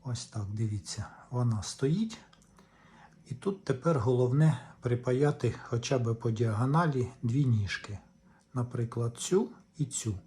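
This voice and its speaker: A man's voice: medium pitch, measured pace, mellow. A man giving unscripted, step-by-step repair instructions in short, methodical phrases. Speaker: repair tutorial host.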